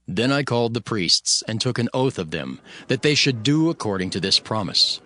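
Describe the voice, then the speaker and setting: A man's voice: mid-range voice, lively intonation, normal speed, pushed and bright. A man narrating dramatized scripture in the first person, with a solemn, declamatory delivery. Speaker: narrator reading as Nehemiah. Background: music.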